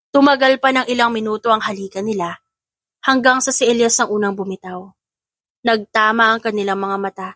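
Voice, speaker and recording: A woman's voice: mid-range voice, fairly bright tone, no room sound, studio quality. A woman giving scripted narration of a romance story in Tagalog.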